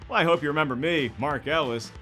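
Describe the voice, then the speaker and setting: A man's voice: fairly high pitch, fast talker, pushed and bright, faint music behind. A man in his forties with an American accent, hosting with an emphatic, jokey, scripted comedic narration. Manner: emphatic, wry, jokey delivery. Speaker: host.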